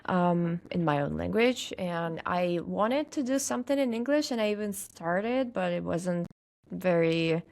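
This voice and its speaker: Woman, Russian accent, podcast guest, young adult, hesitant with fillers, laughs often, a bit low-pitched. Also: lively intonation, a little slow, creaky, darkish tone. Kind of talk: casual interview chat.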